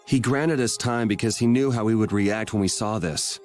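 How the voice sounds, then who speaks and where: A man's voice: on the low side, normal speed, a little mellow, lightly acted. A man playing a cool, plain-spoken young swordsman in a video game, talking casually. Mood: thoughtful. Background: background music.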